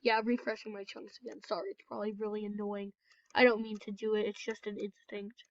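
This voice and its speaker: A woman's voice: medium pitch, flat delivery, fairly quick, dry recording. A woman with a cold, nasal and congested, rambling casually as she comments on her own gameplay for a video. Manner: chatty, rambling, apologetic. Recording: dull, lo-fi, dry recording.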